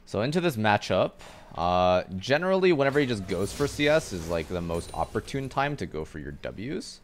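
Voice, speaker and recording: A man casually explaining his gameplay to viewers as he plays, relaxed and instructive. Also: fairly low pitch, moderate pace, slight background noise. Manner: instructive, relaxed, talks over his own play.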